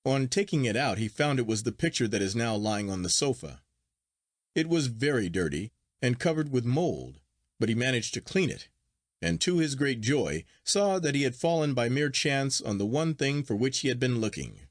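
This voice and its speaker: A man reading a literary story aloud as an audiobook narrator, in a measured, articulate and expressive storytelling manner. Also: medium pitch, no room sound, clean studio sound. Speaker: audiobook reader.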